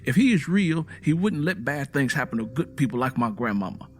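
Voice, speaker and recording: A man's voice: medium pitch, big pitch swings, fairly quick, lightly acted. A man voicing a stern, embittered American Southern man with a blunt, defiant rural way of talking, in a narrated story. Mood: bitter, defiant.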